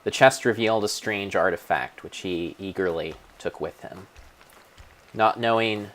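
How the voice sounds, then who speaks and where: Low-voiced man narrating in grand storybook style. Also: fairly bright tone. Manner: grandiose, theatrical, deliberate phrasing. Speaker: streamer narrating own gameplay. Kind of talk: mock-dramatic storybook narration.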